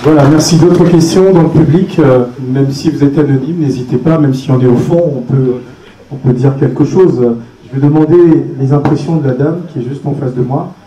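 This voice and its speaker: A man's voice: mid-range voice, normal speed, mellow, slight background noise. A man hosting a conference, casually encouraging the audience to speak, with hesitant fillers. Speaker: event host and moderator. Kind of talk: moderating a conference Q&A. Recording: distant, echoey room with faint ambience.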